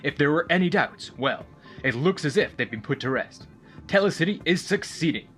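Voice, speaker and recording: An enthusiastic male television reporter in an audio drama. His mid-pitched voice swings animatedly, polished and promotional, over music. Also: lively intonation, moderate pace, a bit bright. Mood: upbeat, announcing.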